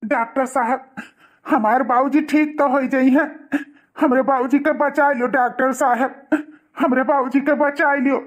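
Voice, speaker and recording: A man's voice: extremely high pitch, fairly even intonation, a little slow, muffled recording. A man with an Awadhi accent playing an emotional, hot-tempered young husband and devoted son in a cartoon. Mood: pleading, distressed. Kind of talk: cartoon dialogue.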